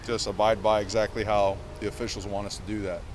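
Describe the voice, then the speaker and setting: A man's voice: fairly low pitch, level tone, fast talker, noisy background. A man coaching football, answering reporters in a press conference, emphatic and full of fillers and repeated words. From Cuba.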